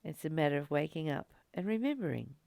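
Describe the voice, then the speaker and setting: A woman's voice: sits low, quick pace, gravelly, no room sound. A woman giving a reflective, conversational spiritual talk, stressing points through repetition. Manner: expressive, conversational, emphatic repetition. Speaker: spiritual study host.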